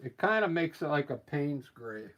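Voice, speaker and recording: A man with a scratchy voice from allergies, painting live and explaining his steps in a relaxed, thinking-aloud way. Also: fairly high pitch, normal speed, lo-fi sound. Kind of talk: casual live painting demonstration.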